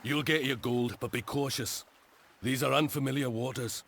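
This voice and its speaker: An actor playing an earnest Scottish knight captain who gives firm orders in a historical strategy game. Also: male voice, medium pitch, fairly even intonation, moderate pace, background noise, close to an everyday voice. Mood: firm, guarded. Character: stern young Scottish knight captain commanding soldiers.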